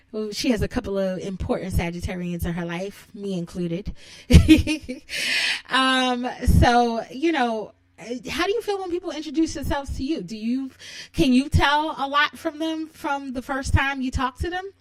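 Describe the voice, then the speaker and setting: Bubbly woman with a childlike, high voice. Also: unhurried, no echo. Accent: New York. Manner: bubbly, giggly, laughs often. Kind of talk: casual solo monologue.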